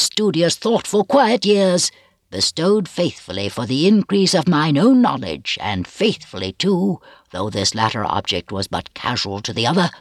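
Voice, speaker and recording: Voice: medium pitch, no room sound, clean studio sound. A reader voicing a bitter, gloomy, vengeful old male physician with grave, archaic, rhetorical speech in a storybook reading.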